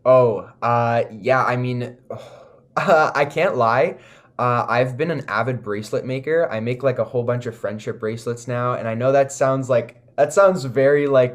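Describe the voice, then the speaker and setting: A man with a Canadian accent giving casual, enthusiastic interview answers, often pausing with "like" and "you know". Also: medium pitch. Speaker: recording artist, interview guest.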